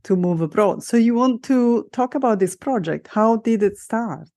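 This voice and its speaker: A woman hosting a podcast interview as a life coach, speaking in a measured way with some fillers and self-corrections. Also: fairly high pitch, expressive, mellow.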